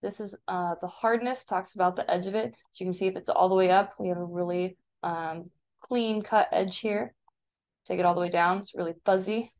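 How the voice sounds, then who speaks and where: A woman's voice: fairly low pitch, steady tone, brisk, darkish tone. Woman giving an informal step-by-step tutorial. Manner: informal, instructional. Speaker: instructor. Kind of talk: step-by-step software tutorial narration. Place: screen-recording voice-over.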